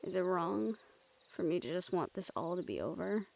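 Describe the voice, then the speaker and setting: A woman's voice: on the low side, expressive, moderate pace, vocal fry. A woman playing a young monster hunter in an intimate audio roleplay, casual and sweary, wry, teasing and tender with a partner. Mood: weary, tender. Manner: casual, wry, sweary, breaks into soft chuckles. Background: music under the voice.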